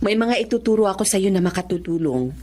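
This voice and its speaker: A woman acting a caring doctor, wife and daughter in a Filipino radio drama, speaking gently with some English mixed in. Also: medium pitch, animated, fast talker, natural voice. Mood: gentle, reassuring. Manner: gentle, counselling, code-switches into English.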